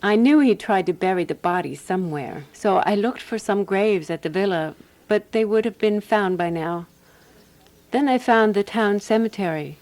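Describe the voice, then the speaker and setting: A practical woman detective played by an actress in a radio mystery. She speaks at a medium pitch, composed and deliberate, with quiet assurance as she lays out her case. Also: close to an everyday voice. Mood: explaining, confident. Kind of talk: radio drama dialogue and first-person narration.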